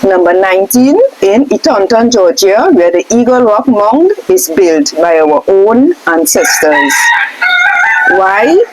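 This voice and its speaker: Lively-pitched woman reading aloud over faint hiss. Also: takes it slowly. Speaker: reader in a question-and-answer scroll reading.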